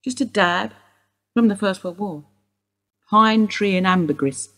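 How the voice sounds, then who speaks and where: A woman's voice: mid-range voice, measured pace, a bit bright. A woman acting a wistful widowed housewife questioned by police in a radio play, rambling through memories. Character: widowed English housewife, Mrs Steele, wistful suspect.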